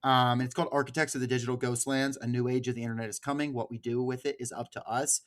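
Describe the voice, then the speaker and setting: A man hosting a podcast in a casual, informal discussion, animated and given to swearing. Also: mid-range voice, fast talker. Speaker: podcast host.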